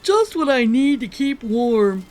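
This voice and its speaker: A woman's voice: on the high side, very animated, slow, a little mellow, a little hiss or hum, pitched above the performer's own voice. A woman voicing a blunt, gleeful porcupine with a snuffly sound in a children's storybook.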